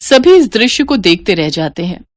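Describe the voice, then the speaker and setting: A woman narrating a cartoon story in an expressive, lilting storytelling manner. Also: mid-range voice, moderate pace, no echo, studio quality.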